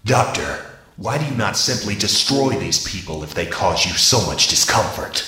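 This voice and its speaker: A man voicing a cold, scheming robot hedgehog in a radio play, measured, formal and menacing. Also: lowish voice, lively intonation. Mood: cold, puzzled. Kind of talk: amateur radio play acting. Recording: echoing voice under loud music.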